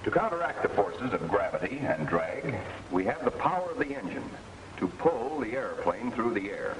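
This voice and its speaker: A man with an American accent narrates a 1940s military instructional film. His mid-pitched voice moves with lively, emphatic intonation as he explains things step by step. The old soundtrack is very noisy and he sounds set back from the microphone. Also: recorded from a distance. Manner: clear didactic delivery, measured emphasis.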